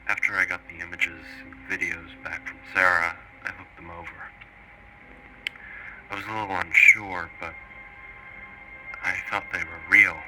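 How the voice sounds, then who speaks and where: A man playing an earnest witness, slowly and haltingly recounting his story in an interview for a mock documentary. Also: sits low, level tone, loud music behind the voice, lightly acted, poor audio. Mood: sober, reflective. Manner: halting, deliberate, pausing mid-sentence.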